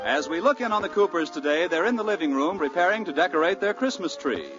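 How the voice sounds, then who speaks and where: Male announcer, old-time radio: high voice, very lively scripted delivery over loud music, muffled sound. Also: fast.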